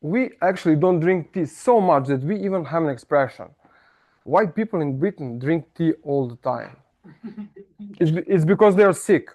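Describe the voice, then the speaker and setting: A man with a Serbian accent delivers a comic club speech in a fairly high voice. He pokes fun at himself and stumbles into small restarts. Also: lively intonation, a little mellow. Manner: self-mocking, playful, halting restarts. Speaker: club speaker. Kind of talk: humorous prepared speech. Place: meeting room.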